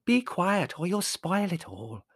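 A man voicing a credulous, dramatic young daughter who speaks in earnest, emphatic drawn-out words. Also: sits high, fairly even intonation, no room sound, clean studio sound, voice pushed up. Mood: hushed, insistent.

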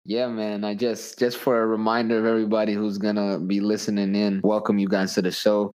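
A man's voice: lowish voice, little pitch movement, fairly quick, darkish tone. A man from the United States hosting a podcast, chatting casually in informal hip hop slang. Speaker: podcast host. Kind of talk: casual interview chat.

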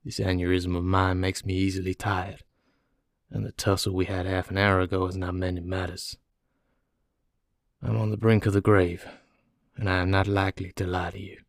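A man voicing a calm, weary, doomed prisoner who confesses slowly and methodically in an audiobook. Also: low voice, gravelly, no echo, studio quality. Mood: weary, earnest. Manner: slow, placid, methodical. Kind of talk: audiobook character dialogue.

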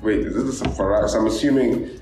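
A man's voice: lowish voice, fast. A man hosting a casual chat, laid-back and slangy, often cutting in. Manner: laid-back and chatty, cuts in often, uses slang like "dog" and "dude". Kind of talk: casual conversation. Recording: very echoey room with background noise.

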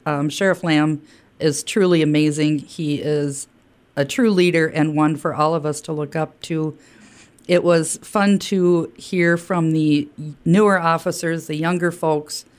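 A woman in law enforcement speaking sincerely and admiringly in a casual interview. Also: sits low.

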